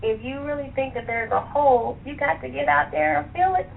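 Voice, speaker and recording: A woman with a fairly high voice talks as an interview guest over a narrow, phone-like connection. She explains things conversationally, thinking aloud and reaching for examples. A faint noise sits on the line. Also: a little fast, creaky. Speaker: interview guest, author and librarian. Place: remote call to internet radio show.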